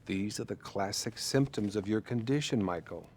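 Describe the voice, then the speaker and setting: An actor playing a patronising, bluntly judgmental male therapist in a video game, measured and condescending. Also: on the low side, a little mellow, a little hiss or hum, natural voice. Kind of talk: video game cutscene acting.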